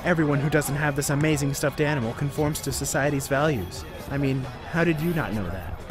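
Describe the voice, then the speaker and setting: A man's voice: a little high, a little fast, natural voice. A man acting a pushy, goading carnival game barker in an audio drama. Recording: loud fairground music and crowd.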